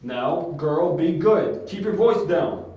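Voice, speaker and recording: A man's voice: a little high, lively intonation, slow, mellow, lo-fi sound. A man acting a dim-witted, self-satisfied, obedient thug in an amateur audio drama. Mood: threatening. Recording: very echoey, distant from mic.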